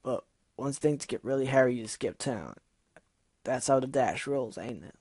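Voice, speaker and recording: A man voicing an angry young female griffin who shouts and accuses, loud and blunt in every line. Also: mid-range voice, lively intonation, normal speed, husky, dry recording. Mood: bitter.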